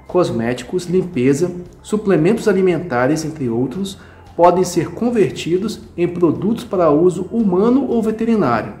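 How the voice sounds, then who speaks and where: A man's voice: on the high side, a little mellow, reverberant. A man explaining a field of work to camera, listing items in a didactic way.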